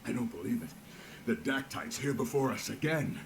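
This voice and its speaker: A man's voice: medium pitch, animated, normal speed, creaky, background noise. A man playing a wondering companion in a fantasy video game adventuring party, acted dramatically. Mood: awed.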